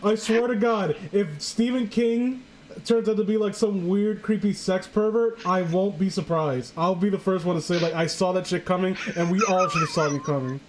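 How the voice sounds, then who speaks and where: A woman's voice: mid-range voice, normal speed, darkish tone, a little hiss or hum, low-quality recording. A woman hosting a casual comedic reading, joking crudely and irreverently as she riffs with friends. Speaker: host of a story-reading show.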